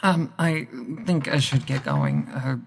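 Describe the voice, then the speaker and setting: Timid young vampire woman as an actor plays her, creaky husky voice, halting, audio drama. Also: medium pitch, sing-song, long reverb tail, full character voice. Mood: anxious, hesitant. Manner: hesitant, stammering.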